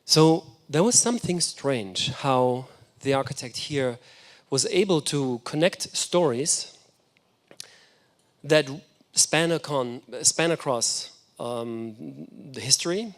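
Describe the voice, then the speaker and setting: A man's voice: mid-range voice, animated, takes it slowly. A man whose first language is German, giving an enthusiastic, reflective architecture lecture. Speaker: guest lecturer.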